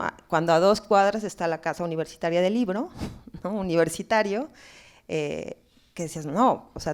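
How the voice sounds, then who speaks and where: A woman's voice: on the low side, a little mellow, clear, resonant tone. A woman speaking at a symposium panel, reflective and hesitant, full of fillers, restarts and tag questions.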